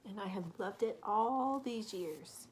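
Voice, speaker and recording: A woman reading a story aloud to young children, dropping into soft asides and simple questions for them. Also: mid-range voice, slow pace, slight background noise, rough recording. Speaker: children's story reader, teacher.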